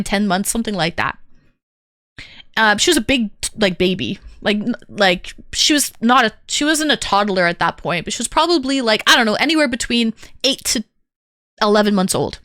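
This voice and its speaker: A woman's voice: mid-range voice, fairly bright tone, no echo, studio quality. A woman streamer telling a personal story to her chat, candid and rambling with lots of fillers. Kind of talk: casual chat to stream viewers.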